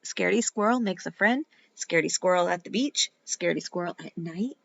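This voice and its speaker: A woman reading a children's picture book aloud as a teacher would, in a dramatic, playful way with emphatic pauses. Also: lowish voice, gravelly, fairly bright tone, highs cut off. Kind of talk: expressive children's storybook read-aloud.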